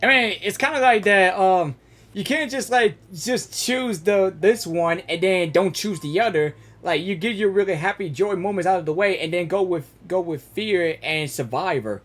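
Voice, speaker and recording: A man's voice: high voice, moderate pace, a bit bright. A man giving casual, informal reaction commentary as a gaming streamer, rambling and repeating himself.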